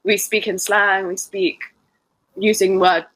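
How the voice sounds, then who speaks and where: A woman with an English accent acts as a speaking-test examiner. Her voice is bright and clear, and she is friendly and quick to laugh. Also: mid-range voice, flat delivery, dry recording. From England.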